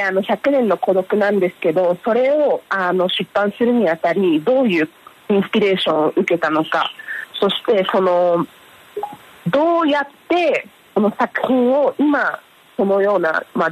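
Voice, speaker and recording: A woman's voice: mid-range voice, slightly rough, low-quality recording. A woman, a teacher, interpreting live in a polite and attentive manner.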